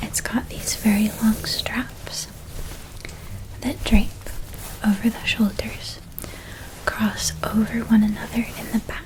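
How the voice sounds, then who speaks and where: Casting: actress for a gentle witch-school outfitter, ASMR roleplay, hushed breathy whisper, very unhurried pace. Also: a little high, little pitch movement, rough recording. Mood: soothing, attentive. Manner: slow, gentle, soothing, attentive. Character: gentle attentive female shopkeeper outfitting a witch school student.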